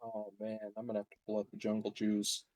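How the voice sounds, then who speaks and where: A man's voice: lowish voice, completely flat delivery, fast talker, darkish tone, dry recording. A man playing a tabletop game, chatting casually and making wisecracks. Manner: wisecracking, informal. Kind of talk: casual joking game chat.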